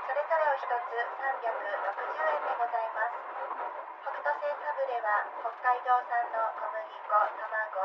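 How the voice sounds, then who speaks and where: A woman's voice: a little high, dull audio. A woman giving polite, formal sales and dining-car announcements over a train's public address system. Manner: polite, formal service speech. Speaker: onboard sales attendant. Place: inside a moving train. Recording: distant PA speaker, loud train noise.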